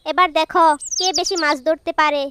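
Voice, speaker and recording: Very high-voiced village wife played by a woman, birdsong. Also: steady tone, fairly quick, background noise, close to an everyday voice. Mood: boastful, competitive. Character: competitive young village wife, boastful but affectionate. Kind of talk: Bangla cartoon voice acting.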